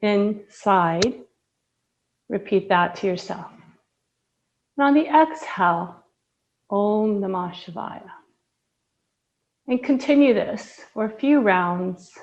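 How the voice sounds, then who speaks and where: Woman, yoga teacher, guided breathing meditation, very slow, soothing, slightly dull recording. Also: mid-range voice, expressive. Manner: slow, soft, soothing guidance with long pauses.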